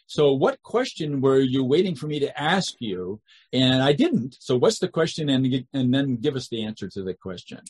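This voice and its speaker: A man hosting a podcast interview, upbeat and encouraging, quick to praise his guest. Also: medium pitch, big pitch swings, darkish tone, slightly rough. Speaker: podcast host.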